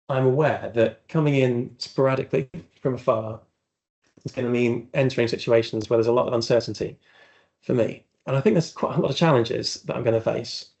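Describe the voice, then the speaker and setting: A man's voice: medium pitch, darkish tone, highs cut off. A man giving an earnest, reflective seminar talk with slides in a university seminar room. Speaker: academic speaker.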